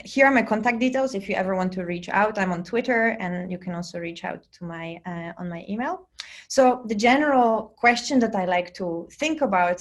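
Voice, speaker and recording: A woman from Poland, whose first language is Polish, presents her research to students over a video call. She talks conversationally, dropping fillers and restarting phrases as she goes. She is in her thirties and speaks into a computer microphone. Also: medium pitch. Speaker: plant scientist lecturing students. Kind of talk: recorded virtual lecture. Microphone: computer mic on a video call.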